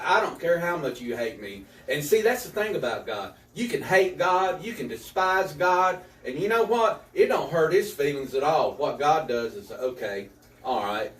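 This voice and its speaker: A man's voice: a little high, big pitch swings, moderate pace. A man in his fifties, an author talking earnestly in a casual interview and often repeating words as he starts a thought. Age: 50s. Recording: echoey room, distant mic.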